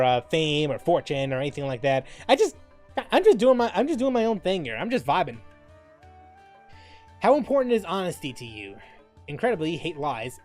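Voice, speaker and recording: A man with a fairly high-pitched voice talks as a gaming streamer. His delivery is informal and rambling, moving between reading aloud and offhand commentary. Soft music plays in the background. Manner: informal, rambling, mildly profane. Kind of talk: casual stream chat, reading quiz questions aloud and reacting.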